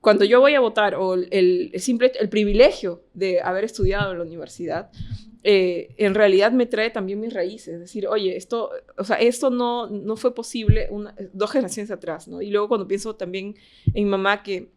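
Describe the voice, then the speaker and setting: A woman's voice: mid-range voice, moderate pace, a little mellow. A Peruvian woman in a casual podcast discussion, telling personal anecdotes and voicing remembered bits of dialogue. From Peru. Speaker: podcast guest.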